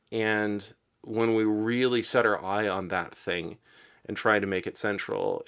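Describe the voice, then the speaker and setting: A man presenting a literary podcast in an unscripted, thoughtful way, halting as he searches for his words. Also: lowish voice. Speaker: podcast presenter and poetry reader. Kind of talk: casual literary commentary, unscripted.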